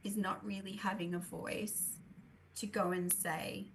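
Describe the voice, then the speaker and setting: Australian woman, croaky, mid-pitched, hesitant with fillers, faint background noise. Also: flat delivery, measured pace, low-quality recording. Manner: many fillers, throat clearing. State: croaky voice from a recent illness. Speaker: co-founder of an advocacy group. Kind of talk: forum presentation.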